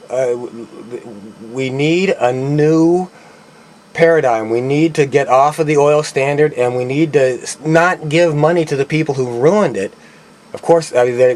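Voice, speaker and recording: A man's voice: medium pitch, darkish tone, rough recording. A man giving an unscripted, opinionated political monologue, speaking earnestly and often stammering or repeating himself mid-thought. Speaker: online commentator.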